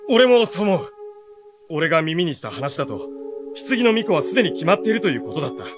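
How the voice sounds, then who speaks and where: An earnest teenage boy, a quick-witted hero in a fantasy radio drama. His voice is on the high side and moves expressively, with music around it. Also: sing-song. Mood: earnest, reasoning. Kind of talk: radio drama acting with first-person narration.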